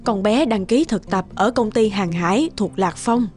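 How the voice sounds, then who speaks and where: Woman doing worried cartoon mom, middle-aged, grave n measured, bit of music behind. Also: medium pitch, expressive, a little mellow, pitched below the performer's own voice, close to an everyday voice. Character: worried middle-aged mother recounting a sad family past. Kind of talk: animated folk-tale dubbing. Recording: music under the voice.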